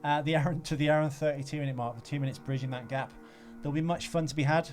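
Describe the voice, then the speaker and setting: A man's voice: on the high side, fairly even intonation, fast talker. A man hosting a podcast in a casual, rambling, jokey way. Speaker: podcast host. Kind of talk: casual banter and rambling sign-off on a film podcast. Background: music playing behind the voice.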